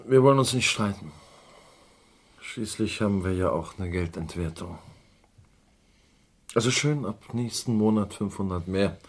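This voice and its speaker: A man's voice: fairly low pitch, measured pace, pauses often. An actor playing a shady, controlled man under blackmail in a crime radio play, guarded and placating.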